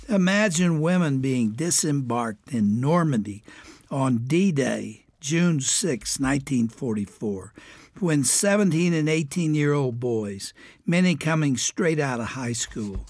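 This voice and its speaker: An older man from the United States, hosting a radio program, reading a prepared letter aloud. His voice is raspy, and his speech is a bit strained and unclear. He reads gravely and deliberately, stressing each item in his lists. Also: medium pitch, dry recording, studio quality.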